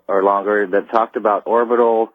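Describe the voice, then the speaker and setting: A man calling in to a radio show over a phone, asking questions hesitantly in a husky voice. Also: lowish voice, flat delivery, moderate pace, no room sound. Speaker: radio call-in listener. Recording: muffled, narrow phone line.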